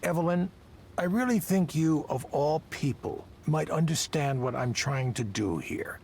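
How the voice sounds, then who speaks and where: An actor playing a smooth, defensive male tech project leader in a visual novel, speaking persuasively and with theatrical emphasis. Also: fairly high pitch, animated, a little slow, a little mellow, a little hiss or hum. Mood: earnest persuasion. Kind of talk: dramatic visual novel voice acting. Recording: music behind.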